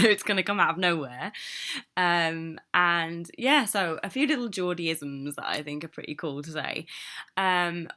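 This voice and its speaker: A young woman with a Midlands accent, chatting excitedly in a casual video message, with lots of ums and laughs. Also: fairly low pitch. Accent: English Midlands. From born in Newcastle, raised in the English Midlands. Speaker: travel blogger.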